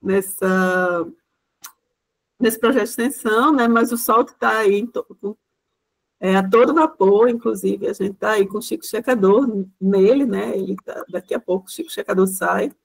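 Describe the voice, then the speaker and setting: A woman's voice: mid-range voice, moderate pace, gravelly, rough recording. A woman giving an informal, chatty talk to a class as a guest lecturer, often using small conversational fillers. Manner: chatty, loose, leans on fillers like "né".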